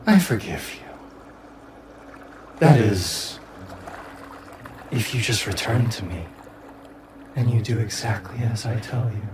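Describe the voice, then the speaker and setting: Man voicing a slow, menacing fox spirit. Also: on the low side, expressive, background noise. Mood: coaxing. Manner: slow, drawn-out, theatrical delivery with sudden outbursts. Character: menacing, cajoling fox spirit patron, sweetly threatening. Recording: music bed under the voice.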